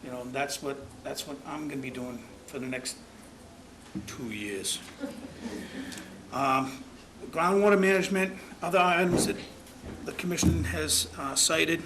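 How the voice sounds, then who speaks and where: A man's voice: a little high, measured pace. A man chairing a town commission meeting, speaking in a folksy, rambling, plainspoken way. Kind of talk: public meeting remarks. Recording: noisy public-access meeting recording.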